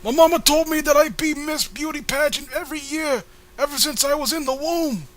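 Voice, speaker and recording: Bubbly, self-adoring teen girl, played by a man in falsetto; high, piercing, strained; comic dub. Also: a little fast, a bit bright. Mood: boastful. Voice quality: strained, unconvincing falsetto of an old-sounding man. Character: bubbly, excitable, boastful fourteen-year-old girl volunteer.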